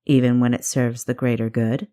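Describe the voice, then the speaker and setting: A woman voicing a seasoned male spymaster, a dry, measured, teasing mentor in an audiobook. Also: very deep voice, little pitch movement, mellow, pitched below the performer's own voice. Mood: wry, measured. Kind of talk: audiobook character dialogue.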